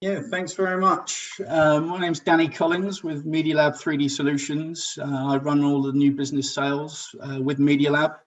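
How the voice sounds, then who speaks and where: A man from Southeast England with an English accent, introducing himself on a panel in a relaxed way. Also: fairly high pitch, moderate pace, hardly any pauses. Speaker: sales manager, panelist. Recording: dull lo-fi call audio.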